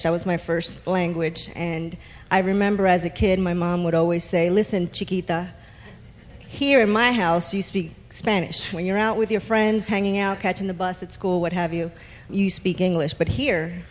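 A woman's voice: lowish voice, fairly even intonation, normal speed. Friendly New Jersey woman on a church panel. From South Jersey, USA. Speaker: panelist, pastor's wife. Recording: hall reverb.

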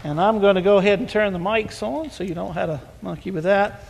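A man leading a church service and hosting a panel, talking in a relaxed, folksy way with a chuckle. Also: sits high, a little fast, gravelly, slightly rough. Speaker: pastor. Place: church sanctuary. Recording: hall reverb.

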